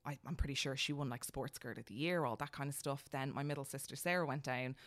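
A woman in her thirties with an Irish accent, a podcast guest telling stories in a lively, emphatic way. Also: extremely low pitch, fast talker. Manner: animated, emphatic storyteller. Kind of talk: casual chat.

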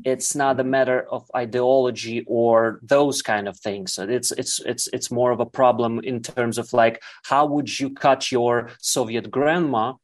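A man from Ukraine whose first language is Russian, answering as an interview guest in halting, searching speech full of fillers. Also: mid-range voice, fairly even intonation.

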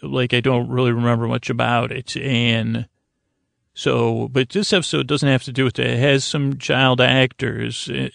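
A man hosting a sleep podcast, improvising a meandering, halting monologue that repeats itself and trails off, in a creaky, gravelly voice. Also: medium pitch, dry recording, studio quality. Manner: meandering, halting, repetitive, trails off mid-sentence. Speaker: podcast host.

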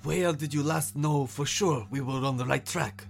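A man's voice: on the high side, animated, normal speed, vocal fry, faint music behind, voice pushed up. A man voicing a loyal, practical lion in a story, plain-spoken and guarded. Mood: restrained frustration. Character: loyal, practical lion, reassuring but easily frustrated.

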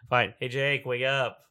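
A man's voice: medium pitch, sharp tone, clean tone. A man chatting casually and laughing often as a player in a tabletop game. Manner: giggly, rambling.

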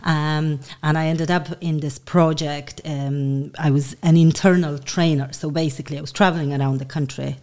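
A woman's voice: low voice, steady tone, moderate pace, few pauses, a little mellow. A woman in her forties from Poland, a native Polish speaker, chatting in an interview and rambling with many fillers and restarts. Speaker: guest. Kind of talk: casual interview chat.

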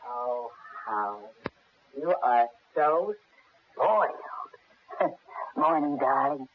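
A woman's voice: extremely low pitch, animated, extremely slow, slight background noise, exaggerated voice. An actress playing a sultry, teasing, mercenary wife in a radio drama, languid and needling in her delivery. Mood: coaxing. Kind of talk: 1970s radio drama acting. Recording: muffled phone-like vintage radio recording.

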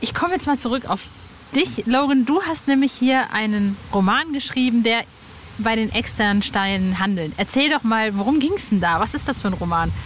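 Female podcast host, slightly high pitch, casual and playful questioning, faint background ambience. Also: lively intonation, moderate pace. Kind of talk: casual, playful interview chat. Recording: noticeable ambient noise.

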